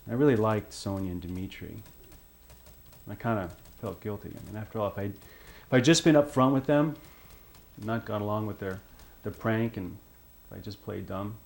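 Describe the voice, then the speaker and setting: Man playing a hesitant, guilty outsider, low creaky voice. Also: a little mellow. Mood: regretful. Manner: halting, self-correcting. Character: guilt-ridden, hesitant young outsider man explaining himself. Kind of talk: naturalistic film monologue, testimony-like.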